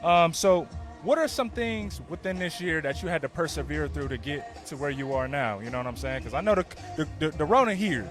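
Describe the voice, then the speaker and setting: A man's voice: a little high, expressive, brisk. A male podcast host interviewing a guest outdoors, laid-back and full of affirmations and slang. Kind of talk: casual interview. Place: outdoors at a busy event. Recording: music behind, outdoors.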